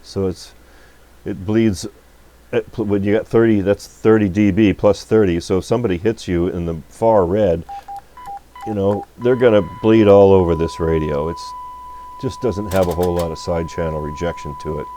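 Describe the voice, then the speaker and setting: A man giving a casual, unscripted technical demonstration at a workbench, talking in a folksy, halting, rambling way. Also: sits low, fairly even intonation, mellow, slight background noise. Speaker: electronics repair technician and video host. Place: radio repair workbench.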